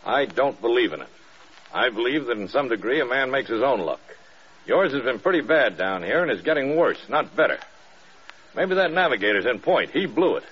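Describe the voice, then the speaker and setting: An actor playing a stern, probing American air force general in a radio drama, measured and authoritative. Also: male voice, medium pitch, very animated, a bit bright, slightly rough. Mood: firm, reasoning. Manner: measured, authoritative, pointed questioning. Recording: dull lo-fi old radio recording.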